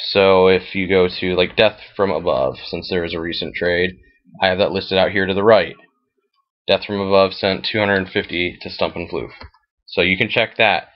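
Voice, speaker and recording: A man's voice: low voice, muffled recording. An American man giving a casual, matter-of-fact screen-share walkthrough in an informal way. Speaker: fantasy football league commissioner. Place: voice-over at a computer, screen recording.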